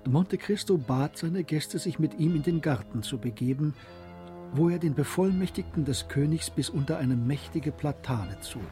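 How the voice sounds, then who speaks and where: A man's voice: fairly high pitch, moderate pace, mellow, soft background music. A man reading scripted literary narration as the narrator of a radio drama.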